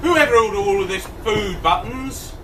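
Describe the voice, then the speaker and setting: A man's voice: high-pitched, animated, normal speed. A man playing an exasperated, hard-up henpecked baron in an amateur pantomime, blustering and playing to the audience. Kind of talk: amateur pantomime stage acting. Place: live amateur show at a tavern venue. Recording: echoey hall from far off, noisy crowd.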